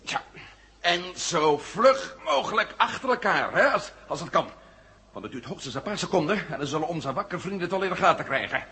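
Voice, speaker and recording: A man acting in a 1970 Dutch radio drama as a resourceful leader who gives brisk, conspiratorial orders. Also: fairly high pitch, very animated, bright, forceful tone, breathy edge, exaggerated voice. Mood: excited. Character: resourceful commanding male leader in a sci-fi adventure. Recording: noisy old radio drama recording.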